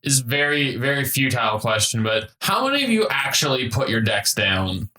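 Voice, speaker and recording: Bright-voiced man in an echoey room. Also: medium pitch, big pitch swings, normal speed. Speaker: podcast co-host. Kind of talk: casual podcast discussion. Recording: roomy, echoey sound.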